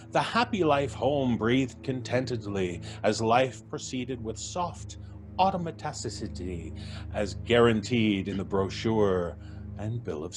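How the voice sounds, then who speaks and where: Measured male storyteller, middle pitch. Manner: measured, vivid, lingering on sensory details. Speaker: narrator. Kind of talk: dramatic live story narration. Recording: live stage recording with faint music.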